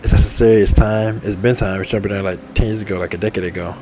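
A man in his twenties talking casually and repetitively over a game, enthusiastic and full of shout-outs. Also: on the low side, fairly even intonation, rapid-fire, a little hiss or hum. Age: 20s. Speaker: gaming channel host. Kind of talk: rambling casual monologue over game menu. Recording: faint game music behind. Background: faint game menu music.

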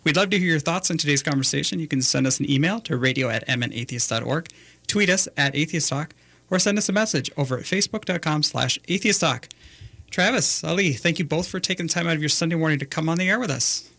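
Quick, higher-voiced male radio host. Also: gravelly, fairly bright tone. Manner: brisk, read from a script. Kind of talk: scripted show opening. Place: radio studio.

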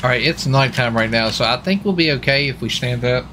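A man with a bright, forward voice talks casually as he roleplays in a video game. His speech is informal and peppered with folksy exclamations, with faint game ambience behind him. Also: medium pitch, brisk, a little hiss or hum. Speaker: gamer roleplaying a US marshal on stakeout.

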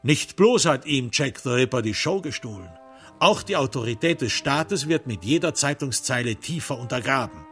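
A man's voice: medium pitch, expressive, normal speed, a bit bright, soft background music. A man narrating a literary crime radio play in a sardonic, theatrical storytelling manner.

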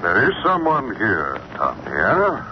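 An actor playing a mocking, sinister invisible avenger, taunting and commanding, in 1940s radio drama style. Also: male voice, fairly low pitch, wildly swooping pitch, slow, heavily acted. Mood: mocking menace. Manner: taunting, interrogating. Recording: muffled, noisy 1940s radio recording.

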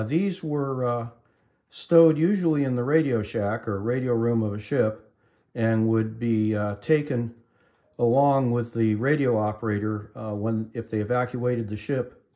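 Middle-aged man, halting, matter-of-fact narrator. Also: mid-range voice, a little mellow. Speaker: collector demonstrating vintage equipment. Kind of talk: informal demonstration narration. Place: indoors in a room at home.

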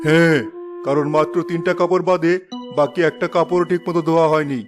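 High-pitched tyrannical cartoon father, acted by a man. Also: fairly even intonation, brisk, music in the background, close to an everyday voice. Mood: angry, threatening. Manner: harsh, accusing, domineering. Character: tyrannical, scolding father of two children. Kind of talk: cartoon dub acting.

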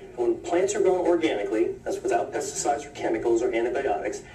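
A man speaking as a guest expert on a television show, calm and explanatory, heard off a TV in a room. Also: mid-range voice, quick pace, roomy sound. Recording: TV audio played in a room, from far off.

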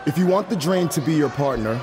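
A man's voice: on the high side, expressive, fast, lightly acted. A man voicing a flamboyant male wrestler in a video game who refers to himself in the third person, theatrical and smug. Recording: game audio over loud crowd noise.

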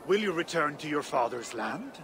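An actor playing a genial, reflective older Danish king in a game, speaking in a stately, unhurried way. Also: fairly high pitch, very animated, background noise. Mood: warm, reflective. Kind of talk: video game cutscene acting.

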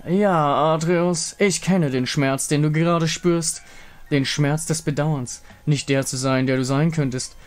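A man's voice: fairly high pitch, steady tone, natural voice. Man as a pompous, drawling undead king. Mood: mock sympathy. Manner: slow, drawn-out, theatrical, pompous. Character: grandiose undead king, lovesick, menacing villain.

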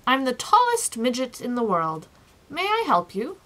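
A woman's voice: sits high, huge pitch swings, moderate pace, a bit bright, pitched above the performer's own voice, full character voice. A woman voicing a proud, cheerful, fussy ordinary-sized man in a storybook, with an emphatic, self-important manner. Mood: brisk, helpful.

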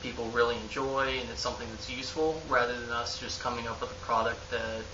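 A man's voice: medium pitch, level tone, fast talker. Young man, easygoing talk to camera, office, dull lo-fi sound. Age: young adult. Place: office with bookshelf, indoors. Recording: roomy, distant, dull, hissy.

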